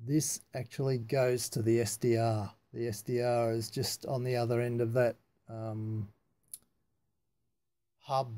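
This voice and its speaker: A man talking casually and haltingly through a hands-on technical demonstration, unscripted and matter-of-fact. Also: mid-range voice, a little mellow. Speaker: amateur radio hobbyist demonstrating antenna tuning.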